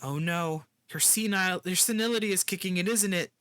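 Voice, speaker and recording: A streamer voicing an excitable, cheeky young sidekick with a teasing, incredulous manner. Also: a little fast, clean tone, no room sound, clean studio sound, natural voice. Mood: teasing concern. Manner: incredulous, teasing, swooping up high. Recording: loud game music behind.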